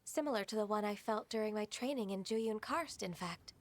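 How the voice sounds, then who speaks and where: An actress playing a gentle, modest young secretary in a video game, speaking politely and formally in measured phrases. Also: female voice, mid-range voice, lively intonation, slight background noise, lightly acted. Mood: reflective.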